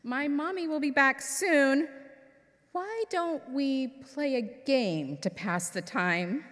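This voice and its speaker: Woman as a sweet young goose, lilting and high. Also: lively intonation, slow pace, a bit bright, heavily acted. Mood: sweet, inviting. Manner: polite, sweetly apologetic, coy. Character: clever young girl goose, sweet and composed. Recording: echoey hall with long reverb.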